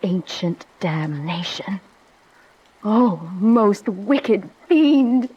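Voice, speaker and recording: A woman's voice: medium pitch, lively intonation, very slow, husky, highs cut off, heavily acted. An actress playing a passionate, desperate young noblewoman in a Shakespearean radio drama, delivering verse formally and dramatically. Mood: bitter, resolute.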